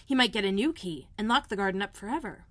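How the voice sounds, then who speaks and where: A woman narrating a children's storybook as a read-aloud host, in a measured, expressive storytelling manner. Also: medium pitch. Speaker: elementary school librarian, host.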